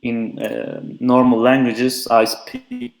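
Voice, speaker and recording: Young man, mid-pitched and loose, talking shop over an echoey remote feed. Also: very animated, slow, vocal fry. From Turkey. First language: Turkish. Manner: frequent "uh" fillers, loose and chatty. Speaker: conference speaker. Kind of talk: casual technical talk. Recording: echoey remote call audio.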